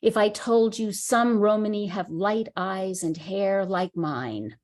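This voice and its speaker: Slow, measured woman reading poetry. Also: medium pitch, a little mellow. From United States. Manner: measured, unhurried recitation. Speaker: poet. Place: reading at a writers center.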